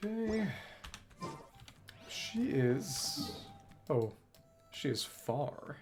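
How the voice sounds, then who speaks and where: Animated streamer commenting over game music. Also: medium pitch, drawn-out words. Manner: thinks aloud in fragments with exclamations. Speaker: streamer playing a video game. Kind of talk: casual gameplay commentary.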